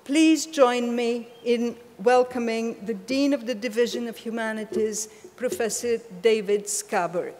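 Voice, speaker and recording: A woman's voice: a little high, fairly even intonation, unhurried, few pauses, darkish tone. Older woman announcing in an echoing hall. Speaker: ceremony presenter. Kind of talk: formal introduction. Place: large auditorium stage at a lectern. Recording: long hall reverb.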